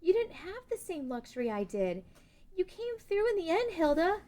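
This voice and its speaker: A woman's voice: very high voice, big pitch swings, normal speed, warm tone. A woman reading a compassionate, resolute young princess in a table read of a fan script.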